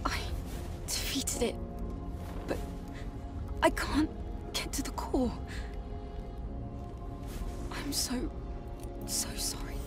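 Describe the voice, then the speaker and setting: A woman's voice: sits high, steady tone, drawn-out words, pauses often, far from the mic, loud music behind the voice. An actress playing a selfless, earnest young female warrior in a video game, with restrained delivery. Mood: weak, sorrowful. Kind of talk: English video game cutscene voice acting.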